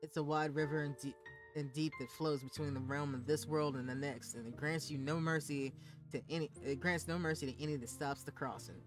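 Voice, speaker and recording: A man doing a gruff, foul-mouthed old woman, fierce and protective of her granddaughter. She drawls her threats loud and rough. Music plays behind the reading. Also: a little high, fairly even intonation, fairly quick, natural voice. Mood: stern.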